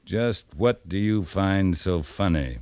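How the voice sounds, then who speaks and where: A man's voice: low-pitched, takes it slowly, a little mellow. A man playing a seasoned, commanding cavalry captain in a 1950s radio western, speaking in a clipped, dryly understated, authoritative way. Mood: stern, businesslike. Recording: noisy vintage 1950s radio recording.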